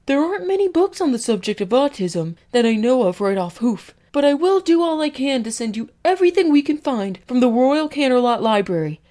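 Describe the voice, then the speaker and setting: A woman's voice: sits high, mellow. A woman voicing a young boy dragon assistant in a storybook, reading aloud in a formal manner. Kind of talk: audio storybook character acting.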